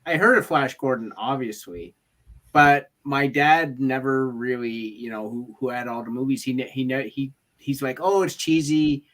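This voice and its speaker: Middle-pitched man, halting casual talk. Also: a bit bright. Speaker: panelist on a film livestream. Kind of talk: casual chat.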